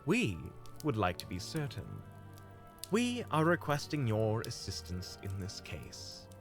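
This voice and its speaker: A man's voice: mid-range voice, huge pitch swings, measured pace, soft background music. Man playing courteous, silver-tongued fantasy monster hunter. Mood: courteous, persuasive. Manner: formal, flowery politeness. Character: courteous, smooth-talking male monster hunter. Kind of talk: fantasy audio roleplay acting.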